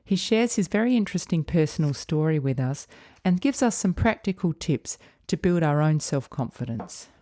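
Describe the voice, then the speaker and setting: A middle-aged woman hosts a wellbeing podcast in a low, mellow voice. She speaks in a measured, deliberate way. The recording is dry, with no room sound. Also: expressive, no room sound. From Australia. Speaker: podcast host. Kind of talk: scripted intro then interview questions.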